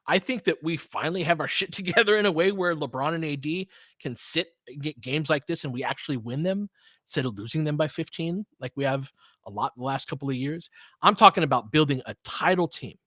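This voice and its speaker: A man's voice: fairly high pitch, expressive, brisk, a bit bright, no echo. A man hosting informal live basketball commentary, chatting conversationally with fans and calling plays in clipped phrases. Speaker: host doing live play-by-play for fans. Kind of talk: casual basketball commentary mixed with chat replies.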